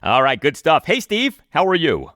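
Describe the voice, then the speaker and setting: A man's voice: on the high side, huge pitch swings, moderate pace, noisy background. A man hosting a sports podcast, introducing guests and asking questions. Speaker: podcast host and interviewer.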